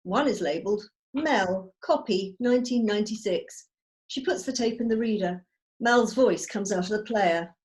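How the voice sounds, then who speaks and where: A woman reading out screenplay stage directions as measured, storytelling narration. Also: medium pitch, a little mellow. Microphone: video call.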